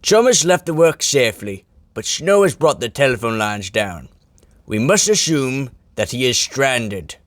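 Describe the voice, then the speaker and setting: A man voicing a stern, proud railway boss in a children's storybook, booming out formal orders. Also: very animated, a little slow, dry recording. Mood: impatient, commanding.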